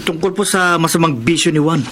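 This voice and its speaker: A man's voice: fairly high pitch, brisk, fairly bright tone, slight background noise, natural voice. An actor in a radio drama playing a young man who is a scheming troublemaker. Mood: respectful, calculating. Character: scheming, cocky young male, chuckling troublemaker.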